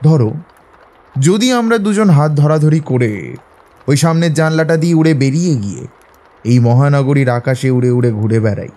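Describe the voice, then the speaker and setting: Mellow, higher-voiced gentleman detective played by a man. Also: lively intonation, close to an everyday voice. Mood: musing, assured. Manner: measured, eloquent, lecturing. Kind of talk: Bengali audio drama acting.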